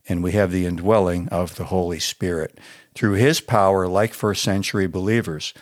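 A man with an American accent, a pastor reading a scripted devotional in an earnest, measured manner. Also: deep voice.

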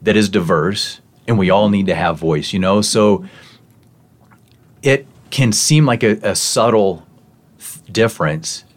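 Deep-voiced male podcast host. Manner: thoughtful, measured paraphrasing. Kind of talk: interview podcast, calm reflective.

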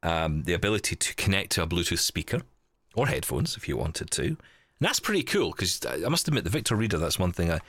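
A man's voice: deep voice, very animated, fast talker, fairly bright tone, breathy edge, no room sound. A man hosting a podcast in casual, chatty style, thinking aloud with wry asides. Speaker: podcast host. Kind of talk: casual chat, live unboxing of a gadget.

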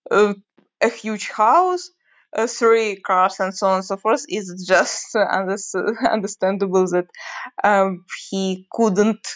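A young woman from Ukraine with a Ukrainian accent, a native Russian speaker, giving an unscripted monologue with frequent hesitant pauses while she searches for words. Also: medium pitch, clear, resonant tone. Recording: dull lo-fi recording.

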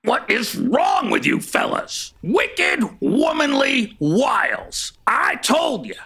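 A gruff male dwarf from a comic radio play. The voice is gravelly and piercing, slow to get words out, and swings widely in pitch. He is irritable and spoiling for a fight. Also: huge pitch swings, bright, forceful tone, heavily acted. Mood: scornful. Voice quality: gravelly, rough. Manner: gruff, belligerent.

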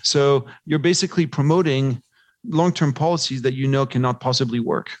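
A man's voice: medium pitch, steady tone, fairly quick, dry recording. A man giving conversational financial commentary as an interview guest, talking discursively and posing rhetorical questions. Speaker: podcast guest, investment research analyst.

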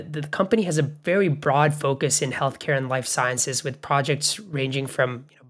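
A man's voice: mid-range voice, fairly bright tone. Reflective man co-hosting a podcast. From Bangladesh. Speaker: podcast co-host. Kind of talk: conversational interview.

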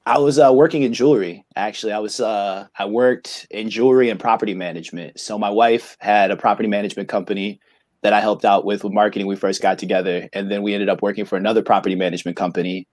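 A man's voice: fairly low pitch, steady tone, quick pace, darkish tone, no echo, studio quality. A man in his late thirties from Michigan, a guest on a podcast, talking in an easygoing, rambling way with many fillers. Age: late 30s. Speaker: guest, insurance agent. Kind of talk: casual interview chat.

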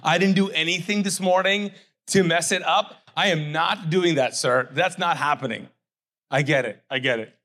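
A man's voice: on the high side, moderate pace, pushed and bright. A middle-aged man, raised in Kuwait with English not his first language, preaching a sermon in a conversational way, acting out the voices of imagined people and asking the crowd to fill in his words. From Pakistani family, raised in Kuwait. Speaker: pastor.

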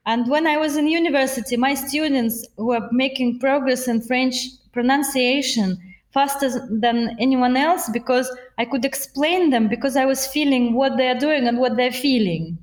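A woman's voice: high voice, moderate pace, echoey room. A woman with a Russian accent, a voice coach talking earnestly in an interview and laughing often. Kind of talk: podcast interview.

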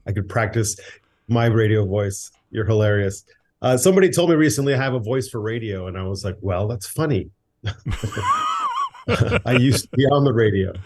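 A man's voice: lowish voice, darkish tone, husky. A man, a director and writer, guesting on a podcast, easygoing, laughing often as he tells stories. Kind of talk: casual interview.

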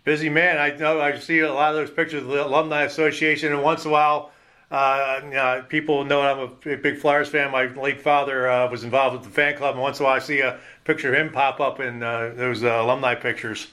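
A man's voice: medium pitch, level tone, a little fast, pushed and bright. A man hosting a sports podcast, interviewing guests in a conversational style.

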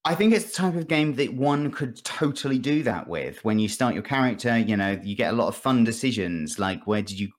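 A man's voice: medium pitch, expressive, brisk, hardly any pauses. A man chatting casually as a guest on a gaming podcast, explaining things in a jokey, rambling way.

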